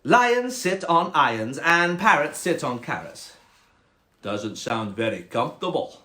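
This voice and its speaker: Man doing a plaintive, animated Scottish frog. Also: fairly high pitch, a little slow, exaggerated voice. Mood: curious, doubtful. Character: reluctant, complaining, questioning Scottish frog.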